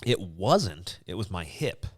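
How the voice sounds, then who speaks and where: An American man hosting a comedic podcast in a casual, joking and theatrically expressive way. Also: sits low, takes it slowly, no echo, studio quality. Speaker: podcast host.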